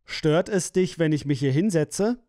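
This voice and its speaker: A man's voice: fairly high pitch, a little slow, a little mellow, no room sound, studio quality. A man reading phrases for a language course, splitting and repeating them with careful enunciation. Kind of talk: slow, clear phrase-by-phrase repetition.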